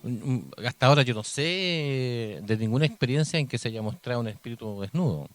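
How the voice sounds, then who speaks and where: A Chilean man co-hosting a conversational paranormal radio show, speaking in a reflective, halting way with repeated phrases. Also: mid-range voice, expressive. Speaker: radio co-host. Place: radio studio. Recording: music bed under studio talk. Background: music.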